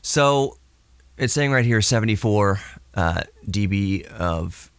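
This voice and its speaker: Male podcast host, lowish voice, rambling hobbyist explainer, very dry close sound on cassette tape. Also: animated, slow pace, clean tone, no room sound. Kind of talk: casual technical monologue. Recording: recorded to cassette with tape hiss.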